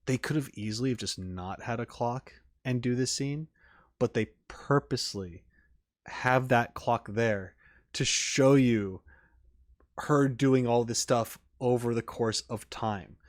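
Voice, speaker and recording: A man hosts a casual reaction stream in a medium-pitched voice. He comments off the cuff on what he is watching. His talk rambles, wandering into asides as he thinks aloud. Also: measured pace. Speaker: reaction video host and streamer.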